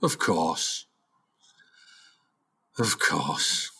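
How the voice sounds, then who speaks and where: A man playing a weary, delusional older father and failed jazz musician in a comic radio play, musing grandly in a husky voice. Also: low-pitched, animated, extremely slow, bright, forceful tone, exaggerated voice. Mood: weary, brusque. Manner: dramatic musing, talking to himself.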